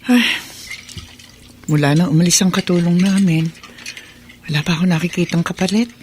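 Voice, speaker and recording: An actress with a Filipino accent playing a worried, hardworking mother of a teenager in a radio drama, gentle and placating. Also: on the low side, moderate pace, music in the background, lightly acted. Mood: everyday concern.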